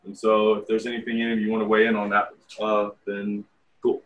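A man's voice: fairly low pitch, monotone, fairly quick, far from the mic, muffled recording. A man in his fifties, a tai chi teacher, talking frankly and rambling a bit to his group at a meeting. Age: 50s. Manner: rambling, frank, self-deprecating asides. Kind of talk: casual group meeting talk.

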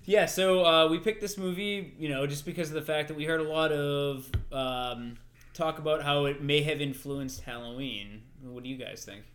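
A man's voice: a little high, moderate pace, a bit bright. A man hosting a casual movie-review podcast, joking and swearing freely. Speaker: podcast host.

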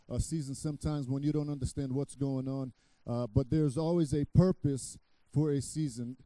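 A middle-aged man from Brazil, a guest pastor preaching a sermon, halting with restarts, then loud and emphatic. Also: a little high, no echo.

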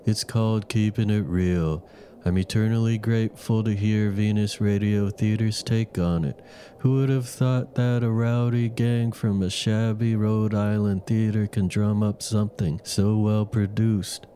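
A man's voice: lowish voice, steady tone, measured pace, warm tone. A man casually introducing his play as its writer. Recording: faint background noise.

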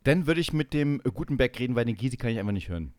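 A man's voice: mid-range voice, expressive, racing, a little mellow, no echo, studio quality. A man co-hosting a casual banter podcast, teasing and playfully mock-enthusiastic. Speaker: podcast co-host.

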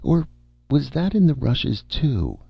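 Storybook starlet, played by a male reader: placid, slow-witted woman, pitched up, vague and unbothered. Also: very animated, creaky, breathy edge, dry recording, dull audio, exaggerated voice. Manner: vague, unruffled, dreamy. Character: vague, placid, dim-witted young female film star.

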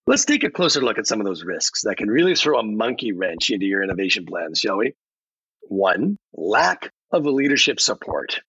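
Man playing a theatrical, animated AI chatbot, roomy sound. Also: medium pitch, breathy edge, full character voice. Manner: theatrical, playful, salesy delivery with dramatic pauses. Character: chatty, theatrical AI chatbot guest with pirate flair. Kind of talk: scripted podcast answers full of metaphors. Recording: slightly roomy, dull lo-fi audio.

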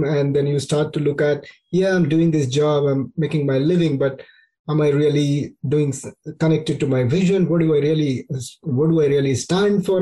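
A man's voice: on the high side, warm tone. A man hosting a podcast, a career coach who asks long, rambling questions with lots of fillers and eager reactions. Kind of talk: conversational interview.

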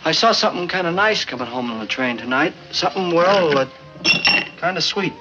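An actor playing a tired, meek husband who pleads and sulks, in 1950s film noir style. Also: male voice, a little high, lively intonation, fairly quick, natural voice. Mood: plaintive. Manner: plaintive, pleading, wounded. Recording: dull old film soundtrack with score. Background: film music.

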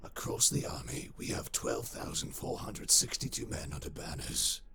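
An actor playing a pragmatic traitor officer who talks in a dry, matter-of-fact way. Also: male voice, very deep voice, very animated, moderate pace, creaky, noisy background, full character voice. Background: music.

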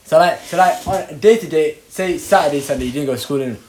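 A young man from Somalia, hosting a casual podcast chat with animated, laughing banter. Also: a little high, quick pace. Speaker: podcast host. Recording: echoey room with faint noise.

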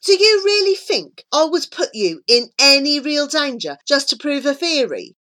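A woman's voice: sits high, sing-song, fairly bright tone, voice pushed up, exaggerated voice. A woman voicing a bossy, confident young girl in a children's story, emphatic and persuasive. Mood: exasperated, persuasive. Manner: emphatic, coaxing, brisk.

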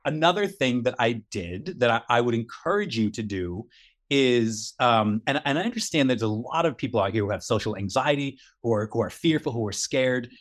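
A man's voice: mid-range voice, expressive, fairly quick. A man co-hosting a podcast, giving earnest, encouraging advice in a casual chat and repeating points for emphasis. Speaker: podcast co-host.

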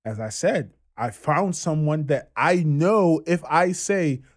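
A man's voice: fairly high pitch, slow. Easygoing young man, podcast host. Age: 20s. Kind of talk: casual podcast chat.

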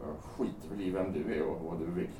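A man's voice: deep voice, fairly even intonation, mellow, a little hiss or hum, natural voice. An actor playing a volatile, desperate man in an audio drama, speaking bluntly and sardonically with swearing. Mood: suspicious, sardonic. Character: volatile, suspicious, desperate man threatening to jump. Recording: roomy sound, distant from microphone.

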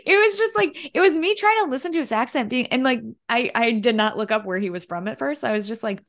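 A woman's voice: on the high side, lively intonation, quick pace, fairly bright tone. A woman co-hosting a casual movie podcast who laughs often and sounds incredulous. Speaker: podcast co-host. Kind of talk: casual movie-review chat.

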